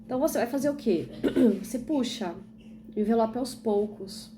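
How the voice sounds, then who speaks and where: A young woman from Brazil walks through a classroom activity step by step as a teacher trainee, asking and answering her own questions. Her voice sits fairly high but soft and mellow. A faint hum of the room runs behind her. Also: moderate pace. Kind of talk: instructional demonstration video.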